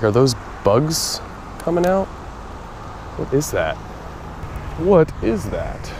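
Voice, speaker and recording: A young man talking casually to his viewers while he fishes at a pond, recorded on an action camera. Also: fairly high pitch. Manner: chatty, talks to viewers while fishing. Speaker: fishing vlogger. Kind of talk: casual outdoor commentary. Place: outdoors at a pond. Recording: outdoor noise from a body camera.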